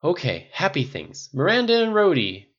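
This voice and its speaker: A narrator as a brash, boozy, excitable man, pitched up. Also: moderate pace, slightly rough, muffled recording. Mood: rowdy toasting. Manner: loud, emphatic, exclaiming. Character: brash, boozy, excitable man, rich party-throwing boss.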